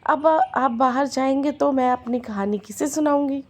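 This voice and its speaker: A hoarse woman voicing a patient, devoted goddess in a devotional storytelling. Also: high voice, normal speed. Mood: pleading.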